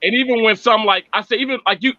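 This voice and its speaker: A man's voice: sits high, fast talker, bright, forceful tone, no echo, dull audio. A man from Mississippi chatting on a live stream from his family home, upbeat, earnest and faith-filled. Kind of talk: casual live stream chat.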